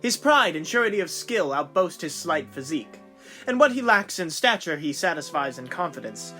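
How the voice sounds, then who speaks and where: A man as a high-voiced, gallant young lieutenant. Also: very animated, moderate pace, sharp tone, faint music behind. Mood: reflective. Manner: formal, flowery. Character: courteous, devoted young lieutenant in love. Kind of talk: amateur period audio drama acting.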